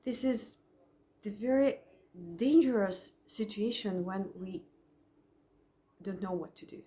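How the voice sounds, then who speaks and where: Slow-spoken Russian-accented woman psychologist. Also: mid-range voice, dark tone. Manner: thoughtful, halting, with frequent pauses and restarts. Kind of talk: personal reflection to camera. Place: at home.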